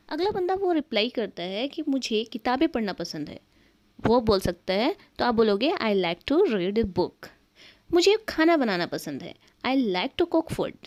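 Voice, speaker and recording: A woman with an Indian accent teaching everyday English sentences in Hindi, saying each English sentence slowly and clearly. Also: on the high side. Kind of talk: spoken English lesson in Hindi.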